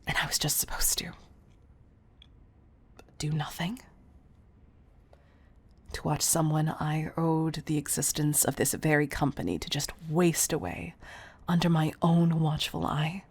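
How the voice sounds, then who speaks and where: Casting: actress as a doting, protective female boss for intimate audio drama; low, gravelly, tough but tender. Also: exaggerated voice. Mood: anguished, exasperated. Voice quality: husky, breathy, often near whisper. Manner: intimate, deliberate delivery with pet names. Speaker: audio roleplay voice performer. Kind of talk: intimate scripted audio roleplay acting.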